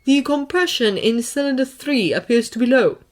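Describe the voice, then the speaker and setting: A woman reading aloud voices a polite, formal male AI butler with a courteous, measured delivery. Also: high voice, expressive, pitched above the performer's own voice.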